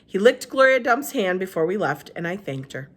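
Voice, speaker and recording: A woman, a teacher, reading a children's novel aloud with expressive narration. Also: moderate pace. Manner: expressive, lively pitch. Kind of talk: storybook read-aloud narration.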